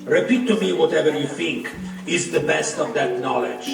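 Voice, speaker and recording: Man playing a gravelly, high-pitched demon king. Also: normal speed. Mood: doting, coaxing. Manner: imperious, dramatic, declamatory. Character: tyrannical demon king, proud father turning furious. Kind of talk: devotional puppet show acting. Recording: echoey, distant, music underneath. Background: music.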